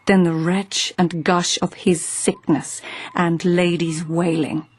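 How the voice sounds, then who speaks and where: A woman's voice: lowish voice, flat delivery, slow, breathy edge. An actress playing a poised, witty court lady who also narrates, speaking in a dry, wry way. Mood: narrating. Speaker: narrator and lead character. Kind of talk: radio drama acting and narration.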